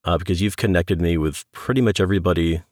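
A man's voice: sits low, fast, darkish tone, no room sound, clean studio sound. A man hosting a conversational interview, speaking in a thoughtful, appreciative way. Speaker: podcast host, interviewer.

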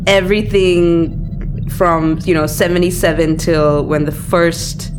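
A speaker in their thirties from Malaysia, chatting casually as a co-host, hesitant, with many fillers and quick laughs. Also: medium pitch, music in the background. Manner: hesitant, lots of fillers and restarts, easy laughter. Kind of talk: casual conversation. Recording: noisy recording with steady hiss.

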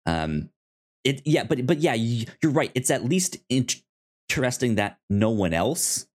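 Halting man co-hosting a casual podcast. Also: medium pitch, sing-song. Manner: halting, repeats words and trails off. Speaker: podcast co-host. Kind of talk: casual TV show discussion.

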